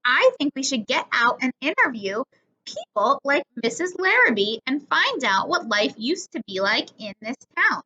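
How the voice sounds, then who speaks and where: A woman voicing an encouraging schoolteacher in a storybook, instructive and measured as she addresses her class. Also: high voice, very animated, sharp tone, full character voice. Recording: dull lo-fi recording.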